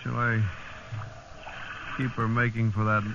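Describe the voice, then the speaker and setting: A muffled, noisy old radio recording features a man playing a dutiful seaman. His voice is slow and level, steady in vintage drama style. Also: mid-range voice, lightly acted. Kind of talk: 1950s radio drama acting.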